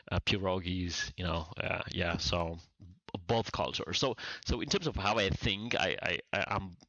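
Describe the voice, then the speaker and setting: A man from Vietnam in his forties, a startup founder as a podcast guest, talking casually and haltingly with many restarts. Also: low voice, pushed and bright, no room sound. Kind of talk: casual interview talk. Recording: dry, dull lo-fi sound.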